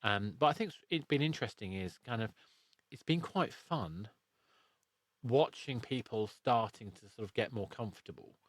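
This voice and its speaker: A man's voice: medium pitch, lively intonation, moderate pace, no room sound. A man hosting a podcast, chatting casually in a rambling, reflective way. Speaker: podcast host and interviewer. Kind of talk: casual interview chat.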